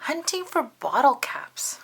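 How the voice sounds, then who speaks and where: An earnest, proud puppet fellow from a children's storybook played by a woman. The delivery is emphatic and bright, with a pitch that swings about as he guards his secrets and gets easily upset. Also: big pitch swings, slow, vocal fry, slight background noise, full character voice. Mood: eager, proud.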